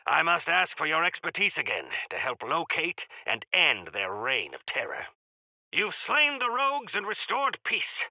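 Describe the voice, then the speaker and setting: A man's voice: a little high, wildly swooping pitch, measured pace, pushed and bright, no room sound, full character voice. An actor voicing a genial, pompous male quest-giver in a video game, speaking in grandiloquent, courtly phrases with wry asides. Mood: courtly, approving. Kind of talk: theatrical video game character acting.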